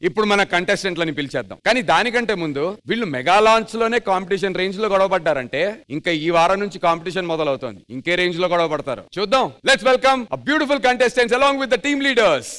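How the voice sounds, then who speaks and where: A man's voice: sits high, lively intonation, fast, noisy background. A man hosting a dance show on stage, anchoring upbeat and mixing English into his Telugu, with music playing behind. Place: TV studio stage.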